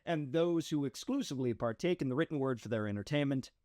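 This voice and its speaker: A man's voice: medium pitch, animated, fast talker, a little mellow, no room sound, studio quality. A man reading a scripted introduction as the producer of a show.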